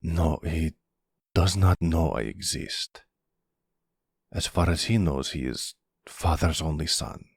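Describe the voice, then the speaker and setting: A man playing a timid, wounded young mobster son with a Russian accent, speaking haltingly and formally in an ASMR roleplay drama. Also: extremely low pitch, creaky, breathy edge, no room sound, studio quality, heavily acted. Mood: subdued, hurt. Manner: halting, formal phrasing without contractions.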